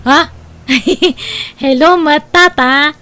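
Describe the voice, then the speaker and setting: Woman as a giggly shy wife, high voice, slow. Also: pushed and bright, heavily acted. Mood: bashful. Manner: giggly, bashful. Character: cheerful married woman, driver's wife.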